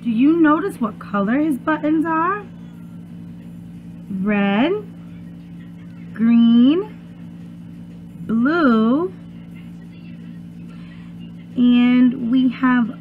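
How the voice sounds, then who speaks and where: High-voiced young woman, noisy phone-like recording. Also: expressive, drawn-out words, long pauses, soft background music. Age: young adult. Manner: sing-song, asking children questions. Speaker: preschool teacher. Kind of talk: children's read-aloud lesson. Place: small room at home. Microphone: webcam or laptop at arm's length. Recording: muffled phone-like webcam sound, loud noise.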